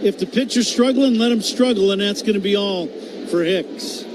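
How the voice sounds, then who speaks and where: A man's voice: sits high, normal speed. A man giving conversational, opinionated color commentary on a live baseball game. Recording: noisy stadium ambience. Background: steady ballpark crowd noise.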